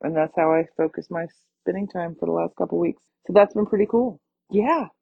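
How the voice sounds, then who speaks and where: A tired woman with a hoarse, scratchy voice, hosting her own podcast in a chatty, upbeat way. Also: fairly low pitch, fairly quick, no echo, narrow, tinny audio. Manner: chatty, upbeat, with small laughs. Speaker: podcast host. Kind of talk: casual solo podcast talk.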